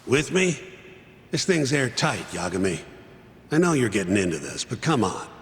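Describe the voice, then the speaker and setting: A man's voice: medium pitch, sing-song, moderate pace, big-room sound. An actor playing a blunt, skeptical male partner who dismisses the case. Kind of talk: video game voice acting.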